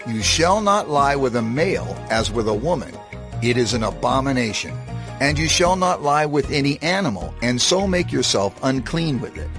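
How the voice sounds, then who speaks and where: A man's voice: mid-range voice, very animated, moderate pace. A man acting as a stern divine lawgiver in a dramatized scripture reading, formal and emphatic. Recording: prominent music behind the voice.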